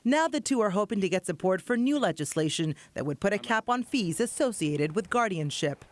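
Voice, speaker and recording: A woman's voice: mid-range voice, animated, brisk. A woman working as a TV investigative reporter, narrating a scripted news package.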